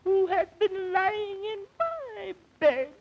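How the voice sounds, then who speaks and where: A fussy mama bear, played by a woman, complains in a shrill, very high voice. Her lines lilt up and down at a slow pace. The old radio recording sounds dull. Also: animated, slight background noise, heavily acted. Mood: indignant. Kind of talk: old-time radio comedy acting.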